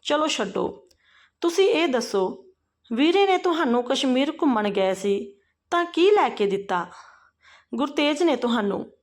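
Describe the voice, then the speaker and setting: A woman's voice: high voice, sing-song, a little slow. A woman voicing a caring, perceptive and persistent sister-in-law in a family story, earnestly questioning and advising. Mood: probing, insistent.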